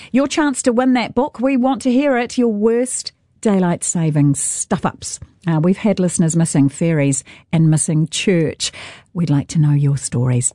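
A woman's voice: medium pitch, no echo, clean studio sound. A woman with a New Zealand accent hosting a radio show, chatting and reading listener messages. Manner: animated, reads listener texts aloud. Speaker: radio host. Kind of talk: warm conversational radio hosting.

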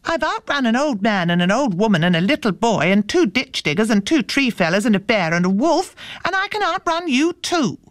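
A woman's voice: a little high, sing-song, fairly quick, hardly any pauses, no echo, clean studio sound, pitched above the performer's own voice. A woman voicing a boastful little runaway johnny cake in a storybook, reciting his boasts in a sing-song way. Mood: boastful, gleeful.

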